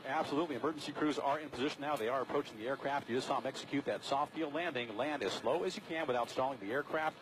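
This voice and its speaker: A man's voice: mid-range voice, very fast, few pauses. An American man giving live breaking news commentary as a helicopter reporter. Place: aboard a news helicopter in flight. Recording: steady aircraft noise, outdoor broadcast feed.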